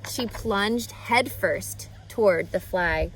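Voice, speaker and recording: A woman narrating a children's book aloud in an expressive storytelling style. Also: mid-range voice, slow pace, slight background noise, rough recording.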